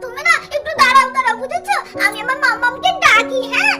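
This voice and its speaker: Very high-pitched, wheedling little girl. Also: expressive, quick pace, over music. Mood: excited. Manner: childish, wheedling, repeats words. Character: eager, pleading little girl doll, playful and stubborn. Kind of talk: Bengali doll-story cartoon acting.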